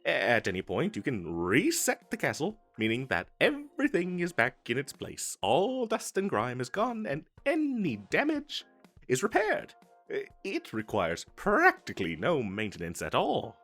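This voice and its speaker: A man's voice: on the high side, wildly swooping pitch, measured pace, a bit bright, faint music behind, heavily acted. A man voicing an eager, boastful wizard salesman in a comedic fantasy audio roleplay, with theatrical emphasis and a stammering, hard-selling manner. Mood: pitching, enthusiastic. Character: eager, boastful male wizard salesman hawking magical wares. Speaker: street vendor character in an audio roleplay.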